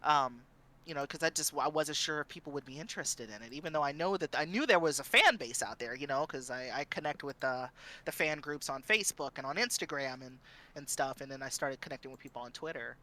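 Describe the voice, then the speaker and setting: A woman's voice: sits low, expressive, fairly quick, pushed and bright. A woman chatting casually as a guest streamer, often repeating herself as she starts her sentences. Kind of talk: casual panel chat.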